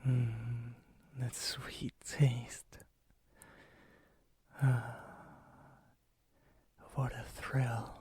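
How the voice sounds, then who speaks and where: A man performs a melancholy vampire in an intimate ASMR roleplay. His voice is a breathy, gravelly whisper, kept very even and subdued. It sits close and dry, halting as if weighed down by loneliness and remorse. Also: medium pitch, no room sound, exaggerated voice. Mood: savoring. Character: lonely, brooding, remorseful vampire, softening toward a stranger.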